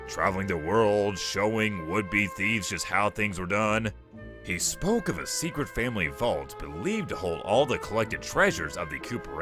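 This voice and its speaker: A man puts on a crotchety old cartoon walrus jailbird. The voice is low and swings widely, gruff, folksy and streetwise, with music playing behind it. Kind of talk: comic dub voice acting.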